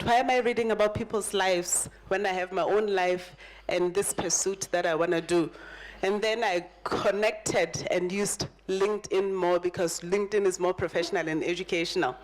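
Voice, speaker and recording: A woman in her thirties giving a motivational keynote talk, telling her own story and repeating phrases for emphasis. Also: mid-range voice. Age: 30s. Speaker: keynote speaker at a summit.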